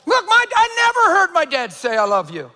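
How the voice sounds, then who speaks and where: Man, 50s, pastor; high-pitched, intense sermon voice with a long reverb. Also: wildly swooping pitch, fairly quick, slight background noise. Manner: builds from low, quiet delivery to shouting intensity.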